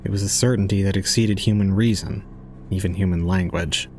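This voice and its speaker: A man's voice: low-pitched, steady tone, brisk, a little mellow, a little hiss or hum, natural voice. A man playing an aloof young man, narrating an audio drama in a formal, detached, cold way. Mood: brooding, detached.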